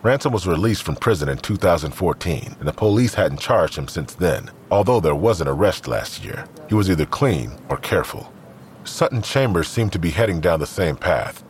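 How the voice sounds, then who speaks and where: A man's voice: deep voice, a little hiss or hum. A man narrating a scripted crime audio drama in the role of storyteller.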